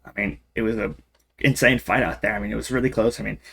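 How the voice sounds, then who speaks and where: A man's voice: on the low side, steady tone, fast talker, vocal fry, a bit bright. A man hosting an esports interview, asking questions in a casual, upbeat way.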